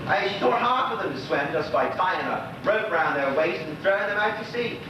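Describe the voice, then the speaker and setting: An actor playing a lonely old widower in a stage monologue, chatting wistfully and rambling in a folksy Bermudian dialect. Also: male voice, sits high, distant mic. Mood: fond. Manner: rambling, folksy, gossipy storytelling. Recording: echoey stage recording from afar, noisy, dull.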